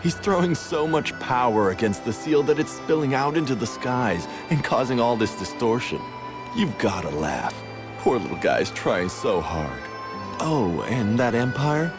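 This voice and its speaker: An actor voicing a sly, playful mastermind in a video game, condescending and teasing, with theatrical drawn-out delivery. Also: male voice, mid-range voice, big pitch swings. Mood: mocking, chatty. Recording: music under the voice.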